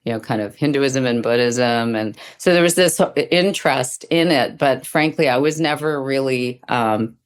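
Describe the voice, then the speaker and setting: A middle-aged woman speaking as an interview guest, thoughtful and reflective, often pausing as she explains her practice. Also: a bass voice, clear, resonant tone. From Sri Lanka. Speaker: guest, dance teacher and Zen practitioner.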